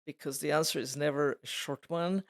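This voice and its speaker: A woman's voice: low-pitched, steady tone, fairly quick. Older woman with a layered Slovak-Swedish accent. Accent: layered Slovak, Swedish and English. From Czechoslovakia. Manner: thoughtful, digressive, laughs easily. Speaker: interviewee, retired program editor. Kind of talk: casual reflective conversation.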